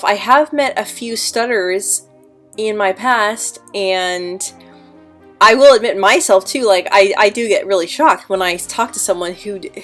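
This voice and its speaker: A young woman talking casually to camera about her own life. She stutters often, repeating sounds and blocking on words, and speaks in an earnest, candid way. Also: medium pitch. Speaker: vlogger.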